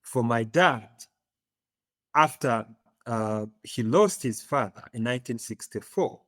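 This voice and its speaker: A man from Uganda with an East African accent, telling his story as an interview guest, often pausing to search for words. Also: mid-range voice, lively intonation, a bit bright, no room sound, studio quality. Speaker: podcast guest.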